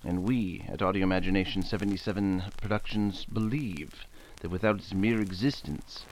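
A man with a fairly low voice addresses the listeners formally. He reads a scripted announcement in the style of old-time radio, as the director of the production. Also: moderate pace, darkish tone, slight background noise, poor audio.

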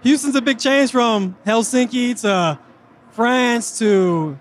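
A man hosting an interview with a high, bright-toned voice and an upbeat, hype-driven delivery. He speaks outdoors at a racetrack, with faint noise in the background. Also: takes it slowly. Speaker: host.

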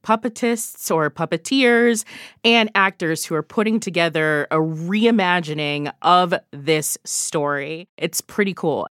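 A woman's voice: fairly low pitch, no echo, clean studio sound. A woman guest on a radio podcast, recommending events in an upbeat way and laughing often. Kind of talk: casual radio chat.

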